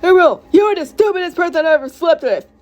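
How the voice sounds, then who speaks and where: A woman voicing a quarrelsome, quick-tempered mother in a diary story. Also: high-pitched, sing-song, darkish tone, pitched above the performer's own voice. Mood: furious.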